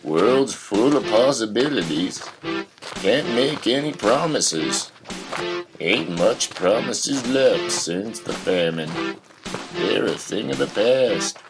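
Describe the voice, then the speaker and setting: A man's voice: fairly low pitch, animated, slow pace, vocal fry, music in the background, full character voice. A man playing a stern, weary rural father with folksy phrasing in a surreal audio drama. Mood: grave, folksy.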